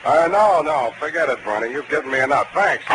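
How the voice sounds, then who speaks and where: A man playing a wry, hard-boiled private detective, narrating in deadpan wisecracks in a 1940s radio drama. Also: fairly high pitch, fairly quick, background noise, natural voice. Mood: probing, curt. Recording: old 1940s radio broadcast, music behind.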